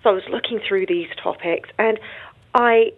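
A woman's voice: mid-range voice, moderate pace. A woman with a British accent, a guest on a radio interview, chatty and modest, who explains her work at length. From United Kingdom. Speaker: guest, international space lawyer. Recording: tinny phone-line sound.

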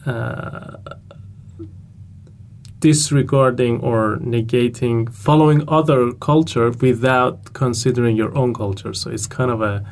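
A man, a panel guest speaking hesitantly with many pauses. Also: medium pitch, fairly even intonation, creaky.

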